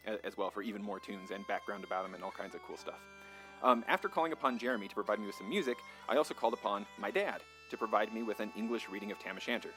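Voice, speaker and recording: A man's voice: on the low side, fairly bright tone. A man hosting a podcast, chatting casually with lots of ums and self-deprecating asides. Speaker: podcast host. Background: faint bagpipe and whistle music.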